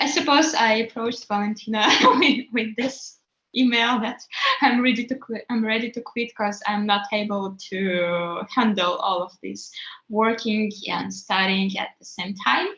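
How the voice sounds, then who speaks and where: Gravelly woman on an echoey, dull call. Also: mid-range voice, fairly bright tone. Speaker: program graduate. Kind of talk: webinar talk. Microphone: video call.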